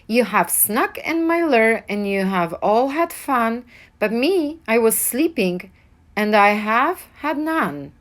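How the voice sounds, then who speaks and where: A woman voicing a big, grumbling, self-pitying bear in a children's storybook, complaining in a loud whine. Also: fairly high pitch, expressive, takes it slowly, voice pushed up. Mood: aggrieved.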